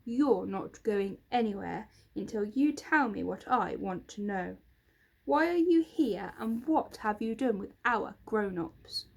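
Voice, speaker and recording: A woman's voice: mid-range voice, animated, takes it slowly, creaky, darkish tone, heavily acted. A woman voicing Lucy, a brave, stubborn young girl in a storybook. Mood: firm.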